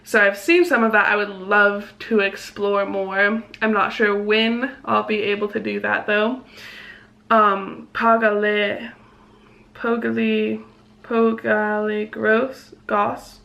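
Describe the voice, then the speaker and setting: A woman's voice: mid-range voice, flat delivery, slow, gravelly, a bit bright, dull audio. A young woman from Mexico chatting casually in a vlog, reading out viewer questions and answering them, with lots of "like" fillers. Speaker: vlogger.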